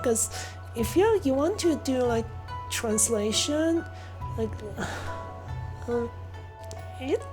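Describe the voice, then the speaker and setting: Slow-talking woman with a Chinese accent. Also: fairly high pitch. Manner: rambling, many fillers and soft laughs. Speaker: game streamer. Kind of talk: casual stream chat.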